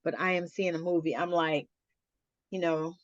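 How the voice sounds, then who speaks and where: A woman's voice: lowish voice, fairly even intonation, moderate pace, no room sound, highs cut off. A middle-aged woman hosting a casual chat, blunt and colloquial, peppering her talk with "you know". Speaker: interview host.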